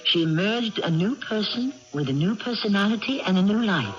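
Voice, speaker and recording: A man hosting a radio drama with scripted dramatic narration in deliberate, literary phrasing. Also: sits high, lively intonation. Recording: muffled old radio broadcast with music.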